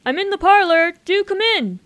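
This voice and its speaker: A woman playing a breezy, generous young upper-class gentleman in a radio comedy, using old-fashioned society slang. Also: extremely high pitch, animated, moderate pace. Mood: welcoming.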